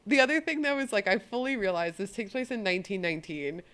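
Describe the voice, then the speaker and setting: A woman co-hosting a podcast in loose, casual conversation. Her pitch moves around in a lively, animated way. She swears casually and restarts her phrases as she goes. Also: fast talker. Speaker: podcast co-host. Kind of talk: casual chat.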